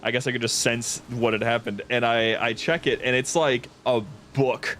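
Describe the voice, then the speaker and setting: A man hosting a gaming stream, chatting casually and telling things in an animated, informal way. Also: mid-range voice, normal speed, slight background noise. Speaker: stream host.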